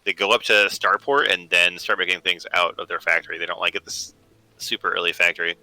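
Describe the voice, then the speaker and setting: A man's voice: sits low, fairly even intonation, a little fast, sharp tone, rough recording. A man co-casting a strategy game, chatting casually and giving analysis with lots of fillers. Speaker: esports co-caster. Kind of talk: live game commentary.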